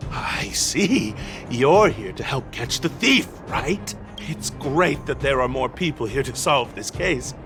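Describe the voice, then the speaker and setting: A man voicing a nervous, easily frightened night patrolman in a video game, stammering and halting as he talks. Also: medium pitch, big pitch swings, over music. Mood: flustered, relieved.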